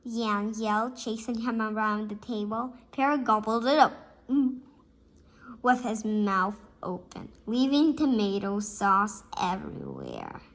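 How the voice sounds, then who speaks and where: A girl of about eight reads a storybook aloud, carefully and a little haltingly. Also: mid-range voice, big pitch swings. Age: child, about 8. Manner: halting, careful reading with slips.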